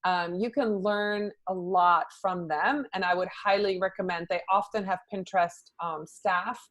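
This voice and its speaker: A woman's voice: fairly low pitch, steady tone, unhurried, a bit bright. A middle-aged woman from the Netherlands, a social media consultant, answering interview questions in a chatty way with many fillers.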